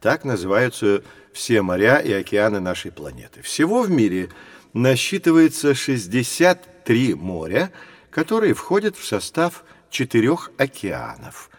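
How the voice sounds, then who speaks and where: Kindly professor, mid-pitched, very expressive, patient and emphatic teaching tone for a children's audio drama. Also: male voice, no echo. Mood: explaining. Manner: explanatory, courteous, measured phrasing with emphasis.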